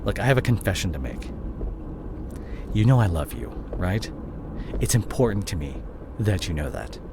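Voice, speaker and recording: Casting: male actor as fallen CEO and unfaithful boyfriend for audio drama; lowish voice, very changeable intonation, anguished and halting. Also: sing-song. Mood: ashamed confessing. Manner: halting, emotional, repeats and breaks off words. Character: disgraced CEO boyfriend, anguished, guilt-ridden, confessing his cheating. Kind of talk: dramatic audio roleplay monologue addressed to the listener. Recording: clear vehicle noise behind the voice. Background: car ambience.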